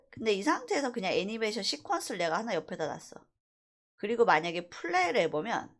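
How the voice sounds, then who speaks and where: A woman instructor giving a casual software tutorial, talking through each step conversationally and checking in with short rhetorical questions. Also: on the high side. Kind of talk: casual screen-recorded software tutorial, thinking aloud while demonstrating.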